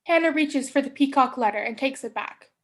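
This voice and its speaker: A woman's voice: sits high, a little fast, fairly bright tone. A young woman hosting a staged play reading and narrating its stage directions. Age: young adult.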